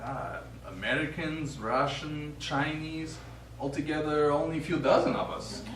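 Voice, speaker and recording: A man's voice: on the high side, measured pace, fairly bright tone. A man on stage playing an astronaut crewmate in live play dialogue with fellow crew members. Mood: matter-of-fact. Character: male astronaut crewmate pressing a colleague for answers. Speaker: stage actor in a short play. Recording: echoey hall, distant mic, clear noise.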